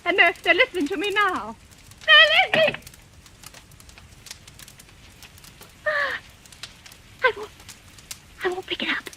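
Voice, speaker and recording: An actress playing a terrified, imperious invalid wife in an old radio thriller, halting and melodramatic. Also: female voice, very high voice, very animated, bright, forceful tone, heavily acted. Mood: panicked, terrified. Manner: nervous, halting, demanding, melodramatic. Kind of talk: 1940s radio thriller acting. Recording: noisy old radio drama recording.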